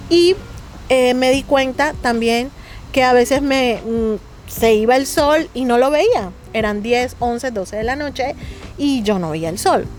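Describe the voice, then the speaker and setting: A middle-aged woman from Colombia with a Colombian Caribbean accent touched by Mexican speech, a guest talking earnestly with many fillers. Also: a little high. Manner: earnest, hesitant with frequent fillers. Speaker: interview guest. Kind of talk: casual interview conversation.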